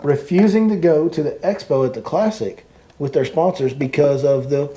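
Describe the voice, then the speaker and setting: A man's voice: a little high, normal speed, warm tone. A man hosting a podcast, giving his opinion emphatically and repeating points as he rants. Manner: emphatic, repetitive, ranting. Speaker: podcast host and fishing guide.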